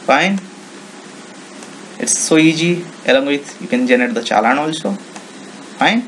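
A man with an Indian accent giving an informal, unscripted software tutorial in halting English with non-native phrasing. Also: fairly high pitch, lively intonation, slight background noise, poor audio. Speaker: software demonstrator.

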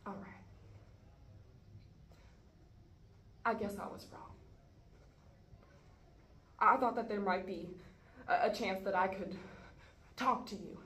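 A woman playing a guarded, exasperated sister in a stage scene, halting at times and sharp at others. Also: medium pitch. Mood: disappointed. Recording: echoey room, faint background noise.

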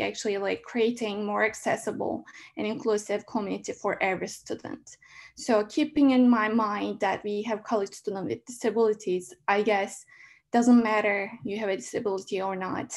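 A young woman from Turkey speaking English as a second language, presenting in an online meeting and pausing often with fillers. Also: mid-range voice, gravelly, a little mellow. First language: Turkish. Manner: halting non-native English with many fillers. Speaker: graduate student senator. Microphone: laptop mic on a video call.

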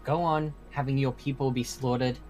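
A man's voice: mid-range voice, steady tone, moderate pace, a little mellow, lightly acted, low-quality recording. An earnest mortal mediator from a game roleplay acted by a man. He speaks in a medium register, persuasive and persistent. Music runs behind the voice. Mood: frustrated, grave. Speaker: roleplay actor. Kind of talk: improvised Minecraft supernatural roleplay.

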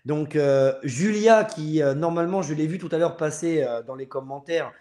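A man in his thirties hosting a casual live chat over a video call, speaking earnestly and haltingly. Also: on the high side, mellow. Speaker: live show host. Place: room at home. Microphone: earphone mic on video call. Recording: roomy, echoing video-call audio.

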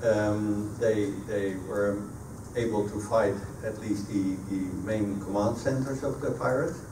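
A middle-aged man from the Netherlands with a Dutch accent and native Dutch, answering audience questions at a talk, often pausing mid-sentence. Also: lowish voice, steady tone. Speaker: guest speaker, sailor. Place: meeting room at a sailing club. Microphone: handheld PA microphone, recorded by a camera across the room. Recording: echoey room, distant camera microphone, noisy.

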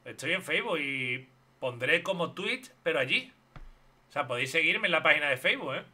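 A man's voice: fairly high pitch, expressive, a little fast, pushed and bright, breathy edge. A man in his thirties with a Spanish accent from Spain, commenting bluntly and mockingly on a video during a live stream. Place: home streaming room.

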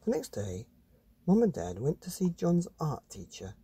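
Husky, mellow male storyteller. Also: a little high, wildly swooping pitch, moderate pace. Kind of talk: expressive children's bedtime story reading.

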